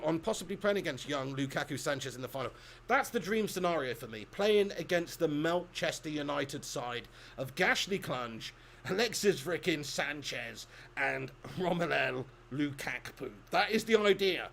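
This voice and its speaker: A man's voice: on the high side, vocal fry, a bit bright. A young man hosting a live football watch-along, chatting casually with viewers and laughing often. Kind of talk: casual live commentary reading chat.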